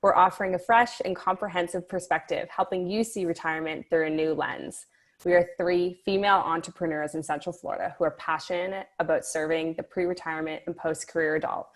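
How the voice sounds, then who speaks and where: Woman, podcast host, lowish voice, scripted welcome then easy chat, encouraging. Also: fairly even intonation, a little fast.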